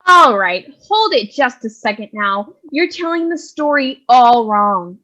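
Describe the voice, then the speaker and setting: A woman's voice: a little high, very animated, unhurried, pushed and bright, muffled recording, full character voice. A woman playing a brash, sarcastic, scolding nun narrator in a comic stage reading. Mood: indignant. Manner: scolding, sassy. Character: brash, sarcastic nun who takes over storytelling.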